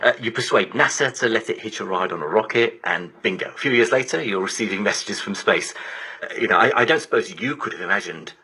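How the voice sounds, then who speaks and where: Male broadcast interviewer. Deeper, crisp-edged but slightly hoarse-textured voice, informal and engaged questioning, digital shortwave radio sound. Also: lively intonation, quick pace, bright, forceful tone, low-quality recording. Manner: engaged, prompting with informal phrasing.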